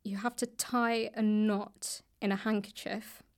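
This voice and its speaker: British woman chatting, mid-pitched voice. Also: little pitch movement, a little slow. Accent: British English. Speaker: guest, author and actor. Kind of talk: casual interview chat. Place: indoors in a public library room.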